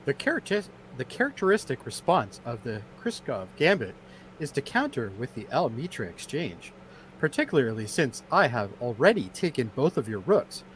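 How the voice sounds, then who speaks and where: A man's voice: medium pitch, very animated, moderate pace, slight background noise, natural voice, rough recording. A man playing a courteous, analytical android officer in an amateur radio drama, speaking in formal, precise phrases. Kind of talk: amateur radio theatre reenactment.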